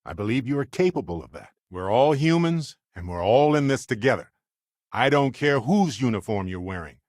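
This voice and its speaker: A man playing a wry, warm-hearted middle-aged space explorer in a video game, conversational with earnest asides. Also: medium pitch, expressive, normal speed, no echo, clean studio sound, lightly acted. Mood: earnest, stern. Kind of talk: acted video game companion dialogue.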